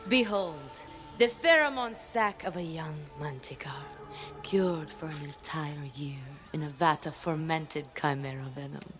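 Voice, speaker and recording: A proud, menacing female crime boss in a video game. She speaks in a low voice with formal, deliberate grandeur, as if every word is a command. Faint music plays behind her. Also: a bit bright. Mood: menacing relish. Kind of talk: dramatic video game cutscene acting.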